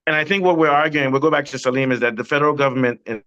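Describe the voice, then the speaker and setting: Male host, mid-pitched, persuasive, dry close recording. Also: steady tone, rapid-fire, a bit bright, clear, resonant tone, no echo, lo-fi sound. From Nigeria. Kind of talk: political discussion.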